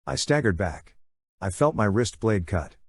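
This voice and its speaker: A man's voice: fairly low pitch, expressive, a little slow, husky. A man narrating a dramatic first-person story from a script as an audiobook reader.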